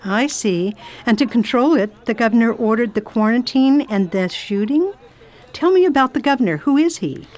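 A woman's voice: fairly high pitch, lively intonation, normal speed, a little mellow, natural voice. Genteel, curious lady traveller acted by a woman. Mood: inquisitive. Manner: polite, inquisitive, exclamatory. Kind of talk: radio drama acting and narration. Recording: faint background noise, ambience.

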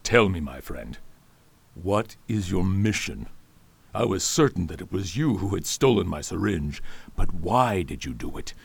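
An actor playing a scheming male doctor and poisoner in a radio drama, formal and quietly menacing. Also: sits low, big pitch swings, unhurried, vocal fry, slightly rough, no echo, heavily acted. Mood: intrigued.

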